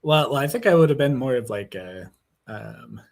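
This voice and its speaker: A man calling into a live stream, talking hesitantly with many pauses and fillers. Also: mid-range voice, expressive, creaky. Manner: hesitant, lots of fillers, tentative. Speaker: caller. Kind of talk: casual discussion.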